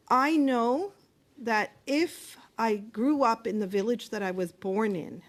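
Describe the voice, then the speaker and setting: Woman witness at a hearing, earnest and impassioned, mid-range voice, speaking on a desk mic. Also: medium pitch. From Canada. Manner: earnest, impassioned, with some hesitations. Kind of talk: formal testimony. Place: committee hearing room.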